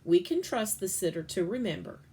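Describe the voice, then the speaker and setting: A kind storybook mother speaking softly to reassure her child, voiced by a woman reading aloud. Her tone stays calm and warm-hearted, close to the reader's natural voice. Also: lowish voice, normal speed. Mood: reassuring.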